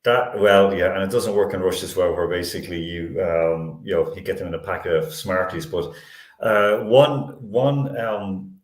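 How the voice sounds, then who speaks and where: A man hosting a sports panel discussion, speaking in halting, stop-start phrases with repeated words. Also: sits low, steady tone. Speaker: sports show host. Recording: roomy, echoey sound.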